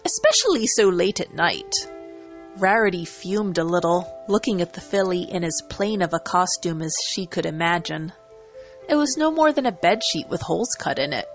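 A woman narrating a story in a dramatic reading style. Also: on the low side.

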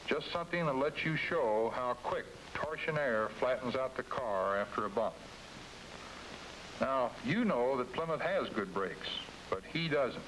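A man's voice: mid-range voice, a bit bright, low-quality recording. A middle-aged American man coaching salesmen in a scripted, folksy 1950s training-film narration style. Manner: folksy, direct second-person coaching. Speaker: company executive presenting a dealer training film. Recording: hissy 1950s film soundtrack.

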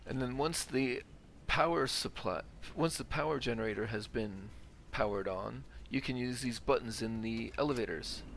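A man's voice: mid-range voice, moderate pace, creaky, hardly any pauses, fairly bright tone, slight background noise. A man giving a casual, explanatory video game walkthrough commentary.

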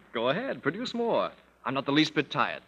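An actor playing a cocky, charming young composer in a 1930s radio drama, sardonic and witty. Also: male voice, a little high, huge pitch swings, moderate pace, fairly bright tone, slightly rough, heavily acted. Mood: wry. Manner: sardonic, wisecracking. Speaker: Felix Dietz. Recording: dull radio broadcast with long reverb.

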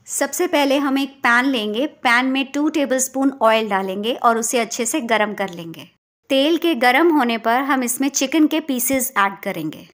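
A woman's voice: sits high, a bit bright. A woman from India hosting a cooking channel, narrating a recipe step by step in an instructional way.

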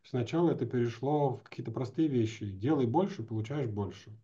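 A man's voice: medium pitch, fairly quick, mellow, husky. A man in his forties talking casually and informally in an interview, thinking aloud in slangy language. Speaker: animation teacher. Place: indoors at home or office, on a video call. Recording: dull lo-fi video call audio.

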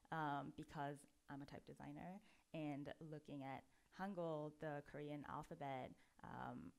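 A woman in her thirties giving a relaxed, chatty talk at a conference, often pausing with ums. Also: deep voice, creaky. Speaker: conference speaker. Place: dark auditorium, at a lectern before an audience.

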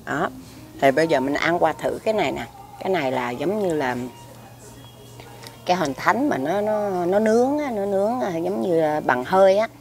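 A woman's voice: low voice, creaky, a little mellow. A middle-aged woman with a Southern Vietnamese accent chats casually to the camera at a restaurant table, filling her talk with fillers and repeated words. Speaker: food vlogger. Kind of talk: casual food review to camera. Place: restaurant table by a window, indoors. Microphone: camera across the table.

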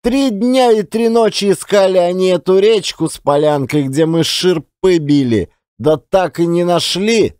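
A man's voice: high voice, unhurried, few pauses, darkish tone, clear, resonant tone, no echo, studio quality. A man, an actor narrating an audiobook of a classic tale as a dramatic, folksy, colloquial storyteller. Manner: folksy, colloquial yarn-spinning.

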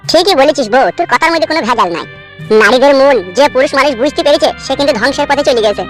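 A grumpy cartoon village husband with an unnaturally high voice. He scolds crudely and sarcastically at great speed over faint music. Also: racing, fairly bright tone. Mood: irritated, sarcastic. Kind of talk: comedy cartoon dubbing.

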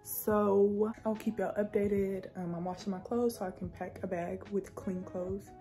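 A woman's voice: medium pitch, measured pace, faint music behind. Chatty young Southern woman vlogging. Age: young adult. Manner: chatty, relaxed, slangy. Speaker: vlogger, college student. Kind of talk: casual vlog chat to camera. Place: small apartment bedroom. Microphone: phone camera at arm's length.

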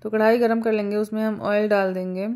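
Woman, cooking host, calm step-by-step explainer, mellow and even delivery. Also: medium pitch, brisk. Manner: calm step-by-step instructions with small fillers. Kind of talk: home cooking tutorial voice-over.

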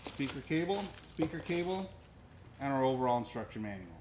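Middle-aged man, medium-pitched voice, casual unboxing presenter, plain-spoken and explanatory, clearly noisy store recording. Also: animated, brisk. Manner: plain-spoken, explanatory, talking while handling gear. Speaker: store presenter demonstrating audio gear. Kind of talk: casual unboxing and product demonstration. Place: indoors in a cluttered audio equipment store. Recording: noisy room recording with clicks.

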